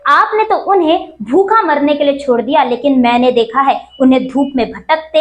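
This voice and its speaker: A woman delivering scripted news commentary as a reporter, in an emphatic, accusatory, rhetorical manner. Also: high voice. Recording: roomy echo on the voice.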